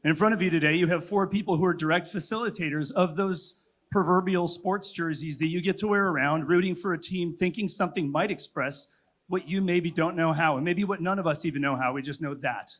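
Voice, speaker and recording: A man's voice: fairly high pitch, brisk, fairly bright tone. Man moderating a conference panel. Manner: reflective, loosely rambling with filler likes.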